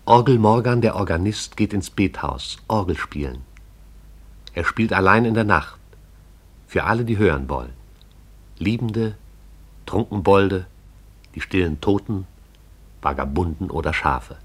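A man narrating a radio play in a measured, poetic manner. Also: low voice, lively intonation, breathy edge.

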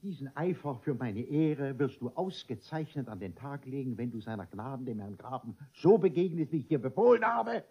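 Blustering, husky, pompous bourgeois father. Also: medium pitch, very animated, moderate pace, few pauses. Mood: imperious. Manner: blustering, imperious, easily flustered, stammering when indignant. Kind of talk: theatrical classic comedy radio play acting. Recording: dull, band-limited old radio recording.

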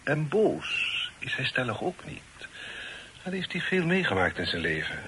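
Authoritative father, animated intonation. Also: medium pitch, measured pace, a little hiss or hum. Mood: discreet, calm. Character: authoritative, worldly father advising his grown son. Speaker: radio drama actor. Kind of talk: Dutch radio play acting.

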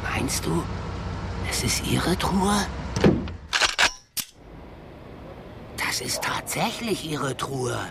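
An actor playing a suspicious, coarse, foul-mouthed gangster in a radio crime drama. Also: male voice, fairly high pitch, lively intonation, takes it slowly, creaky, heavy background noise, full character voice. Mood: wary.

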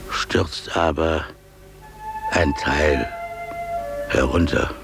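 Very deep, gravelly pious father. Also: loud music behind the voice, exaggerated voice. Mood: solemn, reverent. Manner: solemn, slow preaching delivery with long pauses. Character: humble, pious father, an unlucky wandering animal keeper. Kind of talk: German radio play acting.

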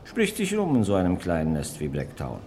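An actor playing a sly, persistent small-town ticket clerk in a radio crime play, coaxing and repeating himself. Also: male voice, sits low, wildly swooping pitch, brisk, a little mellow, a little hiss or hum. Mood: sly, insistent.

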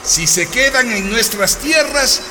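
High male voice, animated, bullying company boss, imperious, officious, radio drama, vehicle noise behind. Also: slow, full character voice. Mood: threatening. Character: arrogant, threatening male cattle company boss.

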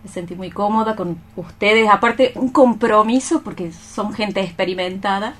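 A woman speaks as a guest in a casual radio interview. Her voice has a medium pitch for a woman. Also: normal speed. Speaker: guest performer, a mime.

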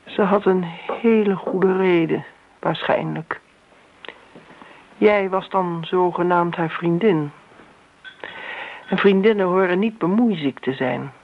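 An actress playing a wounded, sardonic grown daughter in a radio drama, speaking pointedly. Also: female voice, on the low side, takes it slowly, pauses often, slight background noise. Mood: accusing. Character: reproachful, wounded grown daughter defending her mother. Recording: thin, phone-like old radio recording.

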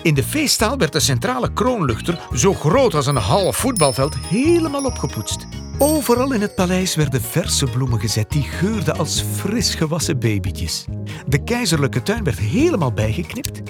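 A narrator telling a scripted children's story in an expressive storytelling style. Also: mid-range voice, moderate pace. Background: music.

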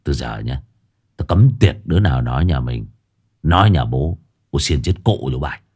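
A man's voice: a bass voice, expressive, moderate pace, a little mellow, slightly rough, voice pushed down, heavily acted. A man doing a crude, slurring opium-addict husband. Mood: crude threatening. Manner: coarse, slurred, threatening. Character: rough foul-mouthed opium-addicted husband.

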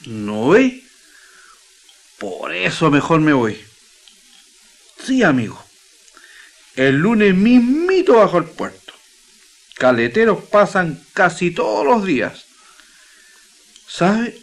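An actor playing an earnest, sentimental young Chilean miner in a radio drama, speaking in a folksy, emotional way. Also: male voice, high voice, very animated, slow pace, creaky, long pauses, exaggerated voice. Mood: homesick, troubled. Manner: folksy, emotional colloquial speech.

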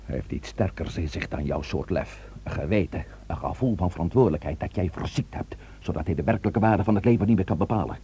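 A man's voice: low-pitched, expressive, fast, hardly any pauses, dark tone, slightly rough, heavily acted. An actor playing a stern, authoritative man taking charge of a crisis in a radio drama, grave and emphatic. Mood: admonishing.

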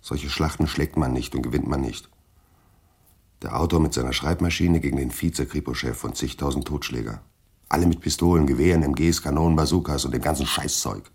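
An actor playing a worried writer who wants to stay alive, protesting emphatically in colloquial speech in a radio drama. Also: male voice, very deep voice, brisk, darkish tone, slightly rough, exaggerated voice. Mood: agitated protest. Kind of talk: German radio drama acting.

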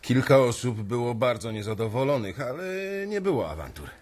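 A man's voice: mid-range voice, very animated, brisk, darkish tone. A careful, cautious office worker who reports back to his boss. His voice sits in a middle register.

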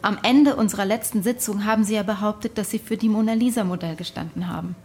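Eine Schauspielerin spielt im Hörspiel eine gefasste Psychologin, sachlich und nachfragend. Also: female voice, mid-range voice, fast talker, darkish tone, slightly rough, natural voice. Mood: composed, probing. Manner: matter-of-fact, probing. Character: composed, clinical female psychologist. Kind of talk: radio drama dialogue.

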